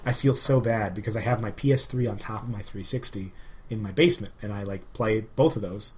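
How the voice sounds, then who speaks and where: A man's voice: fairly low pitch, a little fast, a little mellow. A man chatting casually as a podcast co-host, joking and wandering into informal asides. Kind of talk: casual chat.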